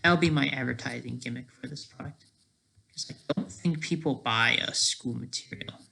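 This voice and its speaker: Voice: mid-range voice, moderate pace, gravelly, pushed and bright. A person giving rambling, off-the-cuff commentary as an amateur creator, often breaking off mid-sentence and tagging statements with "right?".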